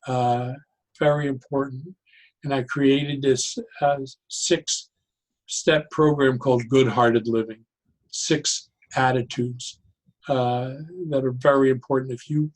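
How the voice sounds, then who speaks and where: A man speaking as an interview guest, explaining his ideas conversationally in a deliberate, halting way and repeating key phrases. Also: medium pitch, fairly even intonation, low-quality recording. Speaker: interview guest, psychologist and joyologist.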